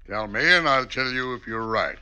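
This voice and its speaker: An actor playing a vain, scheming, folksy state governor in an old-time radio drama. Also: male voice, medium pitch, lively intonation, moderate pace, pushed and bright. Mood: smug. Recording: noisy vintage radio recording.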